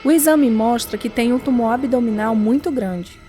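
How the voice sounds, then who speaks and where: Woman playing devout young mother, caring, highish voice, radio drama, faint music behind. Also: moderate pace, dark tone, lightly acted. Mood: grave, devout.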